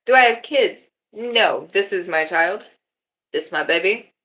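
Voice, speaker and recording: A young woman chatting on a live stream, laid-back and giggly, with a deeper voice who swears freely. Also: slow pace, a bit bright. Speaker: livestreamer. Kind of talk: casual live-stream chat.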